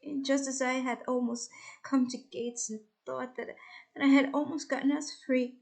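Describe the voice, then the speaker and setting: Female amateur reader, higher-pitched voice, expressive first-person verse narration with heartfelt delivery. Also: moderate pace, vocal fry. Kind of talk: dramatic first-person poetry reading.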